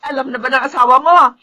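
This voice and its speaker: A Filipina actress playing an excitable, caring middle-aged mother in a radio drama. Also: high-pitched. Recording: clearly noisy radio drama audio.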